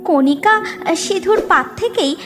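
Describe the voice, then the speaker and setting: A woman's voice: very high-pitched, lively intonation, takes it slowly. A woman narrator delivering scripted storytelling narration for a cartoon tale. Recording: music bed behind the voice.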